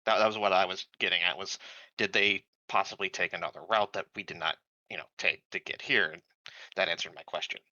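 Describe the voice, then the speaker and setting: Bright-voiced man chatting over a game. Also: deep voice, fairly even intonation, fairly quick, no echo, muffled recording. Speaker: tabletop game player. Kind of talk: casual chat.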